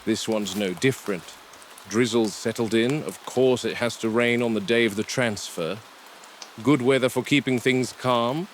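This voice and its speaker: A man playing a watchful, weary dinosaur park game warden, narrating a found-footage log in a measured, deliberate way. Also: mid-range voice, lightly acted. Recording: noisy field recording with vehicle ambience.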